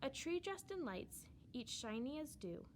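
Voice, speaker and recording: A woman reading a children's storybook aloud in verse, with expressive, dramatic emphasis. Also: high-pitched, measured pace, a little hiss or hum.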